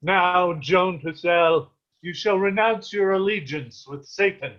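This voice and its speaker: Man playing stern medieval churchman, high-pitched for a man, formal, commanding, dramatic table read, dull sound. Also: slow, fairly bright tone. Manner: formal, imperious. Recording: dull, lo-fi video call audio.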